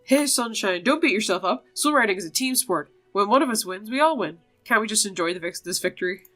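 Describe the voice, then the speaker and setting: A woman's voice: mid-range voice, lively intonation, fairly quick, a bit bright, soft background music. A woman voicing earnest young female riders from a fantasy horse game, expressively reading their dialogue. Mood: encouraging.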